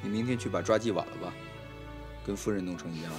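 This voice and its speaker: A man's voice: sits low, quick pace, natural voice. A man playing a harried lord of a household who is exasperated, scolding and flustered, in an audio drama. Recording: background music under the voice.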